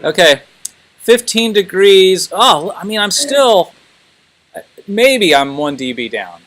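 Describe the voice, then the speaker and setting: A man's voice: high-pitched, sing-song, slow, a bit bright. A man teaching a class informally, thinking aloud and prodding students with questions.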